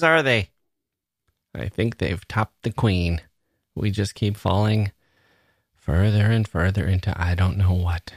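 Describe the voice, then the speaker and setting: A man's voice: on the low side, fairly bright tone, no echo, studio quality. A man hosting a podcast, speaking to listeners in an earnest, musing way and repeating words for emphasis. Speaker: podcast host. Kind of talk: reflective monologue to listeners.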